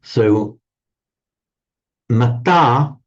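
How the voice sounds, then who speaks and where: A man teaching a Sanskrit class in a relaxed lecture manner, often stammering and restarting words. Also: medium pitch, drawn-out words. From parents from Ireland. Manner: hesitant, repeats and restarts words. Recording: dull lo-fi sound.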